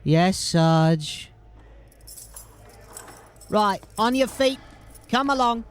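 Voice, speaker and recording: An actor playing a timid, reluctant young corporal in an audio drama, meek and hesitant. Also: male voice, sits high, animated, extremely slow, clean tone, faint music behind, full character voice.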